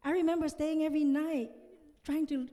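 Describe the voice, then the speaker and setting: A woman's voice: high voice, steady tone, normal speed, mellow. A woman in her fifties from Vietnam, a native Vietnamese speaker with a Vietnamese accent, giving an earnest motivational speech and addressing her audience directly. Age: 50s. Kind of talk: motivational conference speech with personal story. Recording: reverberant large hall, stage PA.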